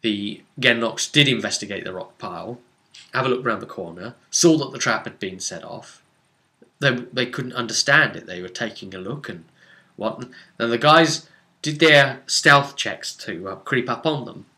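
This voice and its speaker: Bright-voiced man recounting a tabletop game. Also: medium pitch, moderate pace. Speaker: game master. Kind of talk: casual recap of a tabletop role-playing session.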